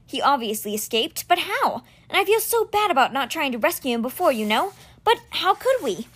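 A woman's voice: very animated, a bit bright. Woman doing an anxious, overwhelmed teen boy. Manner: high, strained and rushed.

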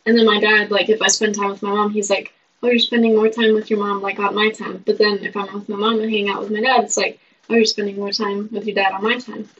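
A teenage girl chatting casually on a podcast, saying "like" a lot and trailing off softly at the ends of thoughts. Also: medium pitch, level tone, fast, slight background noise. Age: teens. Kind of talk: casual chat. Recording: dull lo-fi sound.

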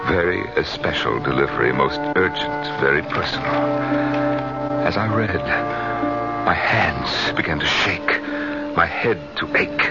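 A man's voice: extremely low pitch, unhurried, over loud music. An actor playing a tormented middle-aged man, brooding and dramatic as he lives and narrates his ordeal in a radio drama. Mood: haunted, brooding. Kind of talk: first-person radio drama narration and acting. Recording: muffled 1970s radio broadcast.

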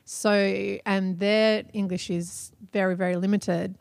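A woman co-hosting a podcast in casual chat, chatty and quick to laugh, often trailing off and starting over. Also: mid-range voice, level tone, vocal fry, dry recording. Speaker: podcast co-host.

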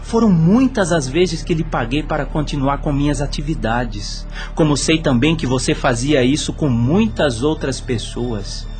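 A man's voice: fairly high pitch, measured pace, darkish tone, lightly acted. An actor playing a bitter, accusing dead man with a Brazilian accent in a radio drama. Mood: scornful, accusing. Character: bitter, scornful murdered man confronting a corrupt official. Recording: music under the voice.

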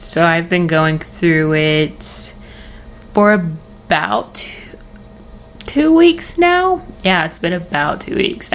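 A woman's voice: low voice, a bit bright, a little hiss or hum. A young woman hosting her own podcast, chatting casually in a rambling, giggly way while losing her voice. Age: young adult. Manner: rambling, self-interrupting, giggly. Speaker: podcast host. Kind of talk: casual solo podcast chat. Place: at home, early morning.

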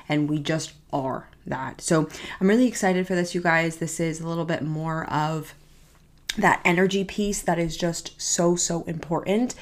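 A young woman hosts her own podcast, chatting casually and upbeat to her listeners. Her voice sits low and sounds nasal and congested from a cold. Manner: chatty, upbeat, says "you guys" often. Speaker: podcast host. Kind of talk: casual solo podcast talk.

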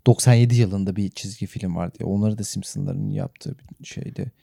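A man's voice: on the low side, fairly even intonation, brisk, creaky, mellow, no echo, clean studio sound. A man, co-hosting a podcast in a casual, conversational way. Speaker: podcast co-host. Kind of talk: casual chat.

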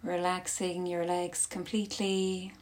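A woman leading a guided yoga nidra class as instructor, speaking soothingly in short instructive phrases with long pauses. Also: fairly low pitch, level tone, darkish tone.

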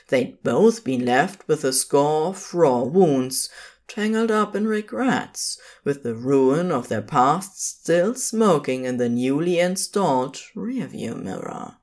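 A narrator reading scripted fan fiction as intimate storytelling, with deliberate and expressive phrasing. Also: medium pitch.

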